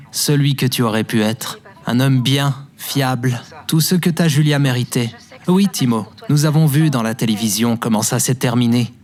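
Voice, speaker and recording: A man's voice: mid-range voice, darkish tone, lightly acted. A man playing a smug, contemptuous, successful parallel-universe double in a French audio drama, speaking with condescension. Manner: condescending, scornful.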